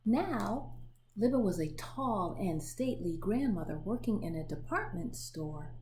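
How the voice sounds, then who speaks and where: A woman reading a children's picture book aloud in an expressive storytelling manner, pausing to show the pictures. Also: mid-range voice, a little hiss or hum. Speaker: storybook reader.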